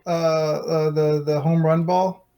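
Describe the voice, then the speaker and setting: A man's voice: on the high side, little pitch movement, slow, mellow. A man co-hosting a casual podcast, tossing in short, dry remarks. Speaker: podcast co-host. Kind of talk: casual chat.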